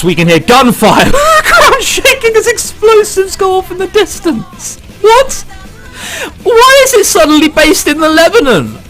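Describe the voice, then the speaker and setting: A man with a high, bright voice does let's play commentary on a visual novel. He swings widely in pitch, incredulous and sweary between passages read aloud, with music behind him. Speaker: gamer reading and reacting to a visual novel. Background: game background music.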